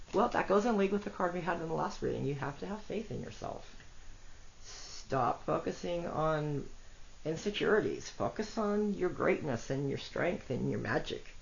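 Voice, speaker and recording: A woman with a congested, rough voice gives a chatty tarot reading, talking to her cards and her viewers. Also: lowish voice. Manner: chatty, self-deprecating, talks to cards and viewers. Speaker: tarot reader. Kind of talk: casual solo tarot reading. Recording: dull lo-fi audio with faint noise.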